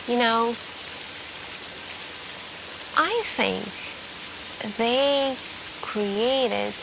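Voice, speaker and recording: A woman playing a shaken, secretive young hacker friend in a soft-spoken ASMR roleplay, halting and hesitant as she trails off into questions. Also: medium pitch, gravelly, background noise, exaggerated voice. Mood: uneasy, puzzled.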